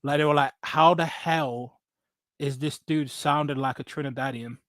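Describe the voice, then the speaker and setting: An English man with a fairly high voice talks casually about his own experiences. He is blunt and emphatic, doubles back on phrases and tags points with "right?" The sound is dry and close. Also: steady tone, normal speed, no room sound. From England. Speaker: podcast guest. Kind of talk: casual personal storytelling in conversation.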